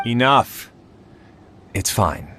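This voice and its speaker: A man's voice: mid-range voice, expressive, extremely slow, a bit bright, slight background noise. A man playing a resigned, overworked student researcher in a video game, weary and placating.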